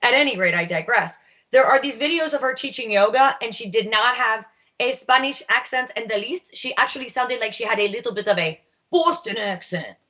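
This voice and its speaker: A woman's voice: a little high, fairly quick, bright, forceful tone, dull audio. A woman panelist on a talk show, firmly explaining a case step by step.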